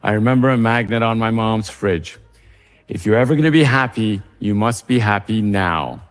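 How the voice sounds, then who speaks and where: An Iranian-American man reading a scripted reflective essay in a measured way. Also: fairly low pitch, in a large hall, soft background music.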